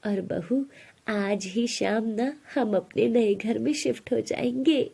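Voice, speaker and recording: Grateful older mother-in-law, voiced by a narrator woman: praising, affectionate, soft and breathy. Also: fairly high pitch, takes it slowly, exaggerated voice. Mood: delighted, doting.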